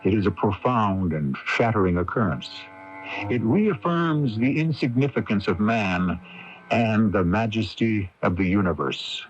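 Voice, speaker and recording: A man hosting a radio mystery series, narrating in a measured, portentous and grandiloquent dramatic style. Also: on the low side, a little hiss or hum. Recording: dull 1980s AM radio tape, music.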